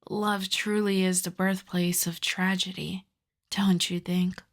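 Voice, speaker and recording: A woman voicing a seductive, possessive female vampire in an intimate ASMR roleplay, speaking softly and teasingly, often in a half-whisper. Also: fairly low pitch, flat delivery. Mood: wistful, teasing. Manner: slow, soft, breathy half-whispered teasing delivery.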